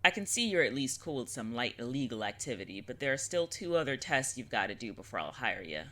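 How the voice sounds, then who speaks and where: Woman playing a sardonic witch with forceful edge. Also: extremely low pitch, very animated, quick pace, exaggerated voice. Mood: wry, irritated. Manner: wry, slangy, dismissive. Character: gruff, sardonic adult witch, easily exasperated. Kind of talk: audio drama acting.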